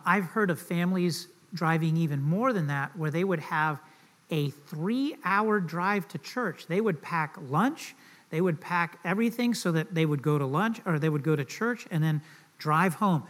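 Middle-aged Southern male pastor, slightly higher voice, relaxed joking sermon style. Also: moderate pace. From Southern United States. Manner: conversational and joking, asks the audience questions.

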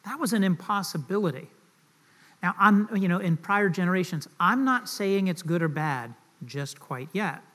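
Middle-aged Southern male pastor, slightly higher voice, relaxed joking sermon style. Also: moderate pace. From Southern United States. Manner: conversational and joking, asks the audience questions.

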